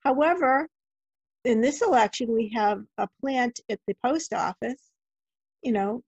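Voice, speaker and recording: A woman's voice: fairly high pitch, a little slow, husky, no echo, lo-fi sound. A woman hosting a conversational interview. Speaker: interviewer, host.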